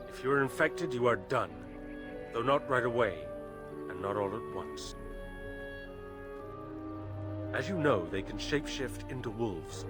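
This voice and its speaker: An actor playing a grave elven storyteller in a video game, speaking in measured, formal phrases with solemn weight. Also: male voice, medium pitch, natural voice. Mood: grave, thoughtful. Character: grave elven storyteller warning of a cursed forest. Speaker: lore-telling game character. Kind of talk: dramatic video game dialogue.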